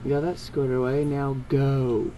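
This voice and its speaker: Man, mellow tone, amateur story reading with dialogue, stumbles on long words, faint background noise. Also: medium pitch, flat delivery, measured pace, rough recording. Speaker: fan fiction reader. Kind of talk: scripted story narration read aloud, with character dialogue.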